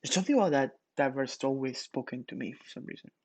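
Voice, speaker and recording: Man, young adult, pastor, relaxed talk full of "like", mid pitch, dry sound. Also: fast, dry recording. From Ecuador. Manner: casual, many fillers and restarts. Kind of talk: morning devotional.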